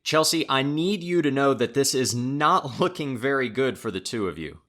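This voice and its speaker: Actor as a skeptical, medium-pitched male questioner. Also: animated, normal speed, sharp tone. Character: skeptical man questioning the people before him. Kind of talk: audio drama acting.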